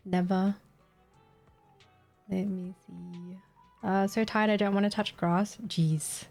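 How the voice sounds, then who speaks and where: A woman's voice: medium pitch, fairly even intonation, drawn-out words, vocal fry, pauses often, darkish tone. Young aussie woman streaming games late at night, sleepy and scatterbrained, giggles a lot, reading chat, faint music behind. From Australia. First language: Vietnamese. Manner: tired, scatterbrained, giggly, reads chat aloud. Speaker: game streamer. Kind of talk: casual live-stream chat while gaming.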